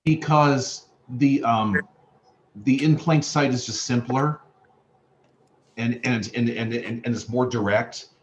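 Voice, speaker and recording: A man's voice: mid-range voice, steady tone, takes it slowly. A man speaking at a play reading, giving stage directions and discussing the play. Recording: dull, lo-fi sound, faint noise.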